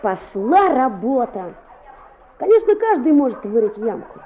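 An actress playing a lively, cheeky schoolboy who narrates his exploits in a radio play. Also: a little high, measured pace, highs cut off, background noise. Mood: chatty, boastful. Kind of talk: radio play acting with first-person narration.

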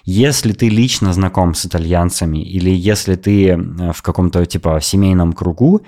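A man hosting a podcast, telling a travel story in a lively, opinionated way in casual chat. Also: low voice, normal speed. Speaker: podcast host.

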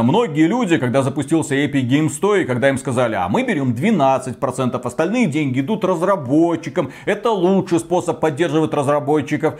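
Slightly high-voiced man explaining gaming news. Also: darkish tone. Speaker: co-host of a gaming news show. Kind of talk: conversational news commentary.

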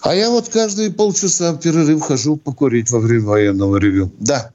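A man's voice: a little high, brisk, dark tone, muffled recording. An older man hosting a radio phone-in, speaking impatiently, cutting in and prodding the other person. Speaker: radio phone-in host, retired colonel. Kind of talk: live phone-in banter.

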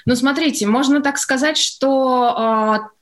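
A woman's voice: sits high, a little slow. A woman answering as a guest in a radio interview, explaining hesitantly and piecing her thoughts together as she goes. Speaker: interview guest, studio executive.

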